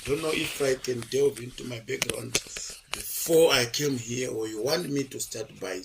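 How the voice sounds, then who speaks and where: Zimbabwean lecturer, male, gravelly voice, meandering anecdotal delivery, light background hiss. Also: mid-range voice, normal speed. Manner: digressive, chatty, with frequent fillers and restarts. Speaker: interview guest, lecturer. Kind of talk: relaxed storytelling interview.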